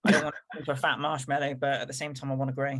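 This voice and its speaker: A woman's voice: rumbling low, little pitch movement, rapid-fire, fairly bright tone. A woman co-hosting a podcast in casual chat, rambling along and acting out what people said to her. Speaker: podcast co-host.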